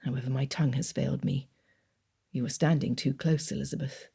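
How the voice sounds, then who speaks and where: A woman voicing a reserved young gentleman suitor who speaks low, hushed and earnest. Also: fairly even intonation, fairly quick, gravelly, husky, full character voice.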